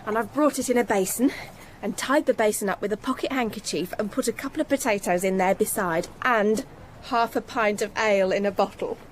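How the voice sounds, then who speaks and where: An actress playing a loving, teasing young working-class daughter in a radio drama. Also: female voice, medium pitch, brisk, a little hiss or hum, close to an everyday voice. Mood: playful teasing. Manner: teasing, coaxing. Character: loving, playful twenty-year-old working-class daughter.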